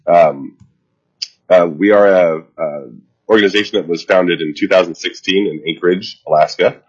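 A man with a stuffy head cold presents over a video call, his low, husky voice coming through a dull, muffled line. He speaks in a halting way, full of ums and restarts. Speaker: nonprofit CEO presenting to a committee. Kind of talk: formal remote presentation.

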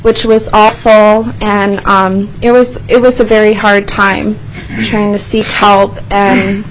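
A woman in her twenties from Alaska, speaking earnestly with pauses as she testifies before a legislative committee. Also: medium pitch, little pitch movement, noisy background. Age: 20s. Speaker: youth advocate testifying. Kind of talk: formal testimony.

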